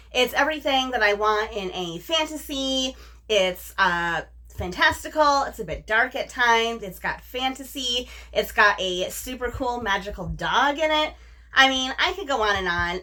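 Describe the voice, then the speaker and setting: A woman's voice: a little high, pushed and bright. A woman hosting a book review vlog, chatting casually and enthusiastically to viewers, often repeating words for emphasis. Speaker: book reviewer, video host.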